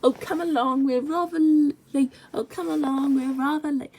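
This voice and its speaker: A young-sounding reader voicing an impatient, cheeky, self-important little male tank engine from a storybook, bossy and hurried. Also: sits high, steady tone, darkish tone, slight background noise. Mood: cross, impatient. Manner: hurried, bossy, repeats phrases.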